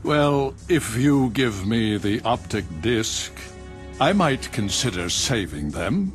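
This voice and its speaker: An actor with an American accent playing a cold, condescending government official in a video game cutscene, smug in manner. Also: male voice, mid-range voice, very animated, slow pace, soft background music.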